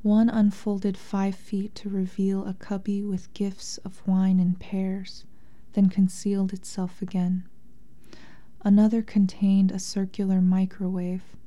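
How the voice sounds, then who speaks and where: A woman author speaking softly and breathily in a thoughtful, measured, literary way. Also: medium pitch, monotone, muffled recording. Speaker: interviewed author and translator. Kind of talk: reflective literary interview talk and reading aloud from a memoir.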